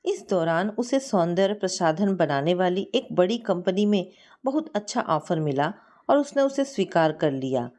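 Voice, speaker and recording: A woman narrating a scripted story in an expressive, sentimental manner. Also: fairly low pitch, normal speed.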